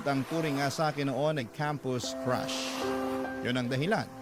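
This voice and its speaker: Man narrating a letter over loud music. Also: fairly high pitch. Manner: earnest first-person letter reading. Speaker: radio drama narrator reading a letter. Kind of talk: scripted narration.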